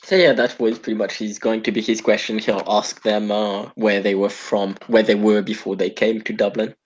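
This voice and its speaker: A man's voice: lowish voice, level tone, moderate pace, slightly rough, dull audio. A man taking part in a tabletop roleplaying game, casually explaining what his character does. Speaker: player.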